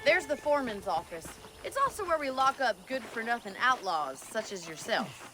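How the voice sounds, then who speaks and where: An actress playing a spirited, sharp-tongued young rancher woman of the Old West, with a wry, teasing delivery for a video game. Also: a little high, very animated, over music. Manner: wry, teasing, brisk, plain-spoken. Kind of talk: video game cutscene acting.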